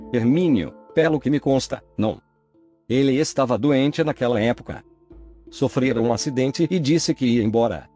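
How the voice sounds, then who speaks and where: Male documentary narrator, mid-range pitch, even reading of an interview transcript, faint music underneath. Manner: even, measured reading that voices both questions and answers.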